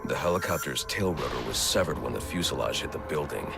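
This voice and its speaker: An actor playing a grim, brooding vigilante detective in a video game, terse and deadpan with a gravelly voice. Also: male voice, extremely low pitch, quick pace, heavily acted. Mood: grim, analytical. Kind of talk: video game voice acting, investigative monologue. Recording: loud music underneath.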